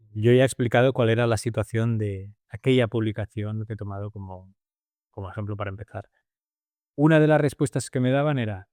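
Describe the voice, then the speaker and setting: A man's voice: mid-range voice, darkish tone, dry recording, clean studio sound. A man co-hosting a casual podcast, working through his points with everyday examples and frequent restarts. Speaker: podcast co-host.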